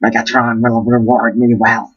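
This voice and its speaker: A man's voice: medium pitch, little pitch movement, moderate pace, fairly bright tone, husky, lo-fi sound. A man voicing an evil, scheming grasshopper-like robot spy from a storybook.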